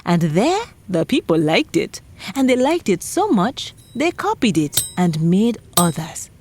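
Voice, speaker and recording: A woman's voice: mid-range voice, very animated, unhurried, darkish tone, slight background noise. A woman hosting a children's folktale podcast, telling a scripted story theatrically and speaking straight to young listeners.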